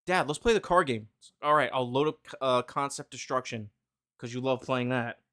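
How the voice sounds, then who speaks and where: A male podcast host chatting casually with his co-host. He jokes around and rambles, with plenty of fillers and false starts in a mid-pitched voice. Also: normal speed, bright, forceful tone. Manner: joking, rambling, many fillers and restarts. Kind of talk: casual chat.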